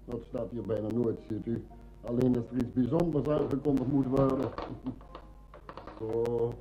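Actor as a very mellow, chatty hotel servant. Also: male voice, mid-range voice, fairly even intonation, a little slow, natural voice. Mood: apologetic, obliging. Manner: talkative, eager to inform. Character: chatty, deferential male hotel employee. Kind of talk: Dutch radio play acting. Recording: dull old radio play recording.

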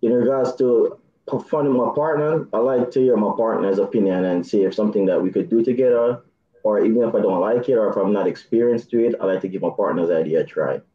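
A man in his thirties with a Jamaican accent, chatting on a video call as a dating show contestant, soft-spoken with nervous laughs. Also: lowish voice, quick pace, echoey room, phone-quality sound. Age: 30s. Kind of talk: casual video call chat.

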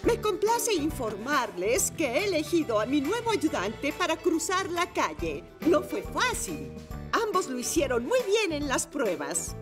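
A kindly cartoon motorcycle teacher with a very high, swooping voice. She speaks in a deliberate, theatrical way, formal yet playful, as if announcing to children, over clear background music. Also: very animated. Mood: proud announcing. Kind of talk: children's cartoon dub acting.

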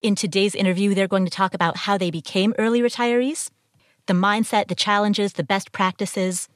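A woman's voice: medium pitch, normal speed, no room sound, studio quality. A woman from Ohio hosting a podcast, reading an intro and asking interview questions. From Ohio, USA. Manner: lively, expressive questioning. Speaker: podcast host.

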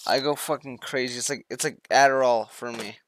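A man's voice: medium pitch, steady tone, brisk, a bit bright. An eighteen-year-old man chatting on a headset while gaming, rambling and joking crudely. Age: teens. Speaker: gamer on voice chat. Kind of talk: casual chat while gaming. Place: small room indoors.

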